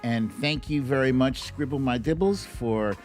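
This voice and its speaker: A man's voice: mid-range voice, measured pace, darkish tone. A middle-aged man hosting a livestream, chatting informally and playfully with viewers, stretching words and tossing in asides. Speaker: livestream show host. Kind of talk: casual livestream chat with viewers. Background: background music.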